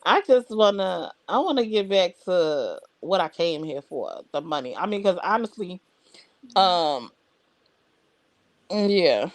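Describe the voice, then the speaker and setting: Woman, livestream host, blunt and animated, swings her pitch a lot, dry close recording. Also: slow, no room sound. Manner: blunt, animated, talks straight to viewers. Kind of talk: casual live chat.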